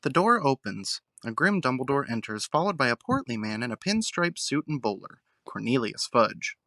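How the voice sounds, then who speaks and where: A man's voice: mid-range voice, big pitch swings, moderate pace, sharp tone, dry recording, clean studio sound. A man reading scripted narration as the narrator of an audio drama.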